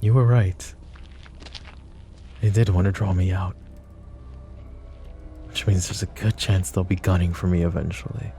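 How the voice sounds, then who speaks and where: A man playing a gravelly deep-voiced mafia boss. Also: steady tone, unhurried, long pauses, soft background music. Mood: grim. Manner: soft, intimate, addresses listener directly. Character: possessive adult male mafia boss, commanding. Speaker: ASMR roleplay voice actor. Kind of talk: boyfriend ASMR roleplay.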